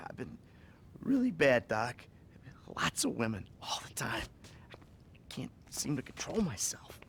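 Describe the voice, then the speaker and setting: Video game role: middle-aged American crook in therapy, sighing, self-loathing and wry, with fry in the voice and lively intonation. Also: male voice, mid-range voice, measured pace, long pauses, whispered. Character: troubled middle-aged male criminal confessing to his therapist. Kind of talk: naturalistic video game acting.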